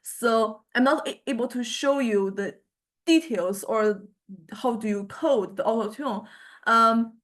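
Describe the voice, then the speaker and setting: A woman giving an informal lecture in a hesitant, halting way, often repeating and restarting her words. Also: fairly high pitch, a bit bright.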